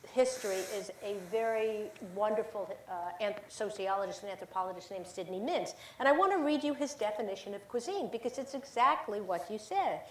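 A woman's voice: fairly high pitch, roomy sound, slight background noise, poor audio. A woman moderating a panel, appreciative as she responds to a guest. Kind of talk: panel discussion.

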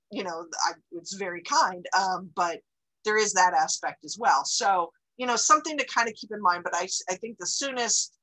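A woman's voice: mid-range voice, sharp tone, rough recording. A woman library director, giving a chatty report on a video call with many fillers and restarts.

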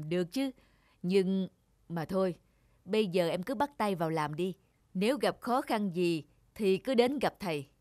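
A woman voicing a kindly, wise older male teacher in a cartoon, measured and reassuring. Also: mid-range voice, lively intonation, darkish tone, natural voice. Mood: encouraging.

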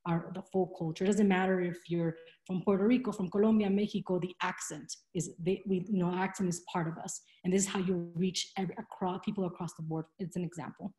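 A woman's voice: on the low side, steady tone, fast, hardly any pauses, dark tone. A woman in her thirties presenting on a video call from a room at home, chatty, with many fillers and restarts. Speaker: presenter in a webinar. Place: home room with window blinds. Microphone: laptop webcam on a video call. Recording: roomy echo.

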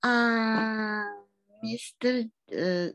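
A woman whose first language is Russian, asking a long, emphatic question in halting English on a video call. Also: a little high, fairly bright tone. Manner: halting non-native English, emphatic complaints. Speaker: audience member asking a question.

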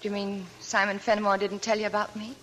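Actress as a young woman with a hidden past, soft and subdued, 1940s radio drama. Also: medium pitch, flat delivery, quick pace, slight background noise, close to an everyday voice, poor audio. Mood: confiding. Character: young woman hiding a sad past, weary. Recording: old radio broadcast with faint music.

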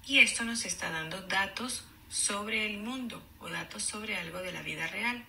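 A woman teacher reading aloud to children in a deliberate, explanatory way, enunciating for young learners. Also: medium pitch, creaky, bright, forceful tone, a little hiss or hum. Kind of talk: children's read-aloud lesson.

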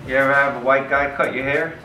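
A man's voice: mid-range voice, fast talker. Young male host, dry teasing humor, recorded off-mic in an echoey shop with music. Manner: teasing, deadpan jokes. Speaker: host and barber. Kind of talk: joking comedy banter. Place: small barbershop, indoors. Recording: echoey room from far off with music.

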